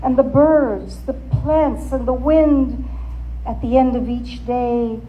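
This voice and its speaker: A woman reading a story aloud as a dramatic narrator, deliberate and expressive. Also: a little high, narrow, tinny audio. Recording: faint background noise.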